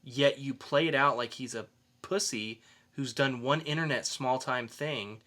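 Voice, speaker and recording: A man's voice: mid-range voice, unhurried, sharp tone. A man hosting a casual film review podcast, explaining his opinions as he goes. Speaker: podcast host.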